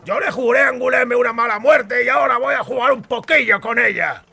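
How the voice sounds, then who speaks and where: An actor playing a cruel, raging bandit chief in an audiobook, crude and threatening, with a croaking, raspy voice. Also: male voice, extremely high pitch, brisk, sharp tone, full character voice. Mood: menacing, bossy. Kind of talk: dramatised audiobook acting.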